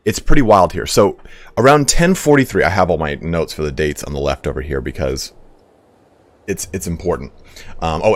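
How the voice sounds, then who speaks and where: Hoarse, deep-voiced male game streamer; loose, rambling history talk, swears freely; soft music under. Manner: rambling, profane, reads donations aloud.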